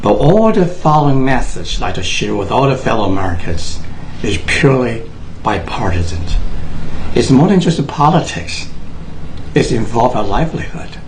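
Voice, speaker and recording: Earnest Taiwanese man, halting speech. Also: medium pitch, very animated, a little hiss or hum, rough recording. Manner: earnest, halting, many fillers and restarts. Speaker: speaker addressing Americans. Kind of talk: unscripted personal address to camera.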